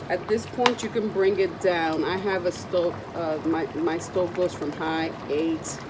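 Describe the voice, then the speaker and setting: A woman with a raspy, slightly low voice, still getting over a cold, chats loosely through a cooking demonstration, full of ums and side remarks. She is recorded in a home kitchen, with loud background noise under her voice. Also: steady tone, measured pace. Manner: chatty, meandering, with frequent ums. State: recovering from a cold. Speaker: cooking video host.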